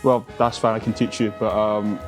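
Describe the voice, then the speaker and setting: A man's voice: lowish voice, little pitch movement, normal speed, faint music behind. A Glaswegian man in his twenties, a confident, chatty dating show contestant who fills gaps with "like" and "um". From Scotland. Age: 20s. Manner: chatty, confident, many fillers.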